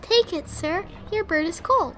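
A man voicing a shy, polite little girl in rags, with a tiny soft child voice. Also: extremely high pitch, expressive, measured pace, pitched above the performer's own voice, heavily acted. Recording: narration with music underneath.

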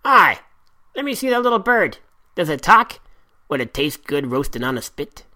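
A narrator putting on the high-pitched, jeering voices of rowdy pirate crewmen in an audio story. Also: male voice, very animated, normal speed, bright, forceful tone, full character voice.

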